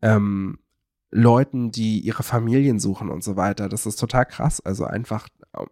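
A young man explains things casually on a podcast, haltingly searching for words and correcting himself. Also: on the low side, mellow, dry recording, studio quality. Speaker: podcast co-host.

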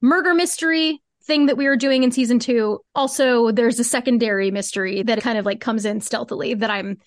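A woman's voice: a little high, fairly quick, dry recording, studio quality. A woman speaking as a podcast guest, explaining creative work in an informal, conversational way with casual filler words. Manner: explanatory, informal, peppered with "like" and "cool". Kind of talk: casual interview conversation about craft.

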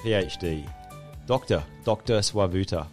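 A man with a very low voice hosts the interview in a loose, chatty way, joking with his guest. His delivery wanders and restarts as he sets up the talk. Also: a little slow, soft background music. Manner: chatty, laughing, loose and warm with a friend. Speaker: podcast host. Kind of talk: casual interview.

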